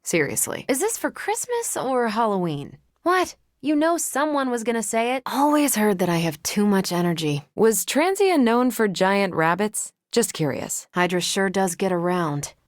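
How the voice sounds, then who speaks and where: A woman's voice: medium pitch, big pitch swings, moderate pace, few pauses, no echo, studio quality, close to an everyday voice. A woman playing a confident, wry, tough superheroine soldier in a video game, with casual, self-assured banter. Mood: wry, teasing. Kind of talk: scripted video game voice acting.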